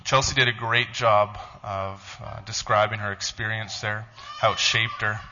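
A man, a pastor, reading a blog aloud to his church in a halting, emotional voice. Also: lowish voice, flat delivery, lo-fi sound, noisy background. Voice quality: tearful, halting.

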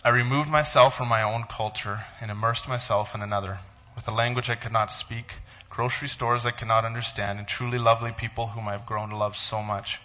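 A man, a pastor, reading a blog aloud to his church in a halting, emotional voice. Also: lowish voice, steady tone, pushed and bright, highs cut off. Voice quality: tearful, halting.

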